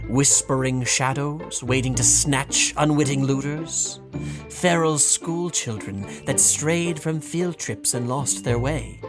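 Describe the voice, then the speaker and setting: A man narrating an audio drama as scripted, dramatic storytelling. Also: mid-range voice.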